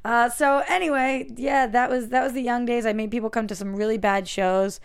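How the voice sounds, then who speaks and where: Raspy-voiced woman, chatty and self-mocking. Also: a little high, fairly even intonation, fairly quick. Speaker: podcast guest. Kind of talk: casual chat.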